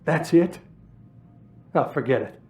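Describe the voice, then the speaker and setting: A bitter police sergeant stuck on desk duty in a cinematic game. The delivery is emotional and defensive, with pitch rising and falling sharply. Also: male voice, lively intonation, slow, darkish tone. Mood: weary, resentful. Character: bitter, self-pitying, demoted male police desk sergeant. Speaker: video game character.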